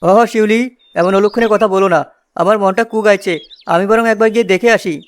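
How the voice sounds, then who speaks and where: An actor's gentle, worried cartoon elder brother. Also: mid-range voice, normal speed, natural voice. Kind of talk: children's cartoon voice acting. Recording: loud music under the voice. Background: loud music.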